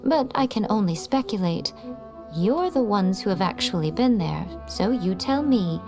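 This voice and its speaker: A woman voicing an elegant, condescending shrine familiar in a video game, sly and knowing, with a measured, teasing manner. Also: medium pitch, expressive, over music, close to an everyday voice. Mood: knowing, playful. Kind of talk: video game character acting.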